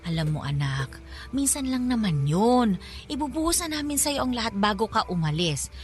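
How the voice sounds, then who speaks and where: A woman's voice: a little high, sing-song, normal speed, faint music behind. A woman playing a loving, insistent middle-aged mother with a Filipino accent, coaxing her child in a radio drama. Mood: fond, insistent.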